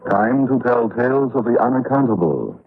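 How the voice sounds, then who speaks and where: A man's voice: mid-range voice, very animated, moderate pace, slight background noise. A man delivering a dramatic scripted opening for an old radio anthology show. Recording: tinny old radio, loud music behind.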